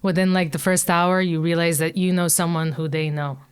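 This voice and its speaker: A woman's voice: lowish voice, level tone, moderate pace, smooth. A woman with a Croatian accent, a podcast guest chatting casually and laughing often between many fillers. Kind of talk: casual chat.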